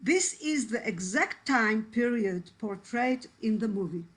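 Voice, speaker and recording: Woman, native Iraqi Judeo-Arabic speaker, halting and emotional, reading aloud, slow pace, video call. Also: a little high, lively intonation. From Israel. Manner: halting, searching for English words, emotional. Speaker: actress and translator, guest speaker.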